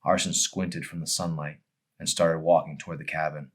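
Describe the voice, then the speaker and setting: Male author, low pitch, storytelling delivery, dramatic phrasing, deliberate. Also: little pitch movement. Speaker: author reading own novel. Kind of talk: audiobook-style narration with casual introduction.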